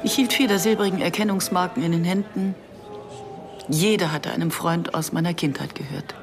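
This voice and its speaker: A woman's voice: lowish voice, moderate pace, soft background music, natural voice. An actress playing a guarded, reflective woman in her early fifties who narrates and converses soberly in a German radio drama. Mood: somber, remembering. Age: middle-aged.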